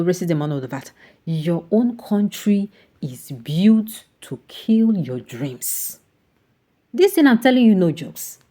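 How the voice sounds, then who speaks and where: A woman's voice: sits low, mellow. A woman with a Nigerian accent giving opinionated, off-the-cuff commentary, emphatic and full of rhetorical questions, sometimes slipping into Pidgin. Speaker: online political commentator.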